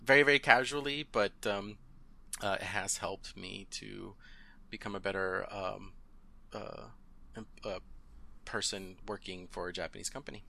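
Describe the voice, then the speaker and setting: Gravelly, bright-toned man in his thirties. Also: fairly low pitch, fairly even intonation, normal speed. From Southern California. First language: Spanish. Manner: hesitant, many fillers, laughs often. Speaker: podcast guest. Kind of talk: casual interview chat.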